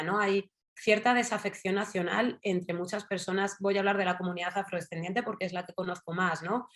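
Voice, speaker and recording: A woman from Spain with a Madrid accent, speaking as a journalist guest in an online panel, thoughtful and digressive, full of fillers and tag questions. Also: on the low side. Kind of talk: casual talk in an online panel.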